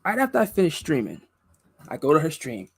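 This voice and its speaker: Husky-voiced man telling a lively story. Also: on the high side, brisk, no room sound. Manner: animated, acts out other people's voices in quotes. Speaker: guest musician and streamer. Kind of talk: casual storytelling chat.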